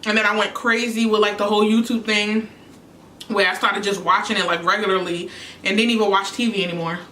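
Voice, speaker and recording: Young woman, chatty, bright forceful tone, echoey room. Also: mid-range voice, steady tone, a little fast, slight background noise. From New York. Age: young adult. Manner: chatty, answering questions between bites. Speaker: YouTuber. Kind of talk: casual mukbang chat. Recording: echoey room, mic at a distance.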